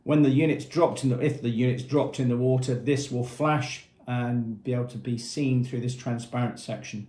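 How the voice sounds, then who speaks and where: A man's voice: medium pitch, fairly even intonation, normal speed, hardly any pauses, warm tone. A man in his fifties giving a plain, methodical product walkthrough in short phrases, as an informal presenter. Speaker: product presenter for a marine equipment retailer. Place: small indoor room with painted block walls, seated at a table. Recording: echoey small room.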